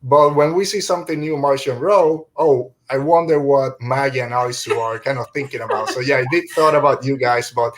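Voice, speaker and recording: A man hosts a podcast in loose, conversational fashion. He rambles a little and slips jokes in as he goes, at an ordinary middle pitch. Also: fairly quick, few pauses. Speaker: podcast host. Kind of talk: casual podcast chat.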